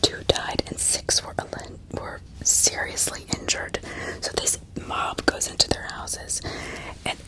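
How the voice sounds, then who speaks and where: A woman narrating true crime as an ASMR host in a breathy whisper, pausing often mid-sentence and adding small asides to the listener. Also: bright, forceful tone, low-quality recording.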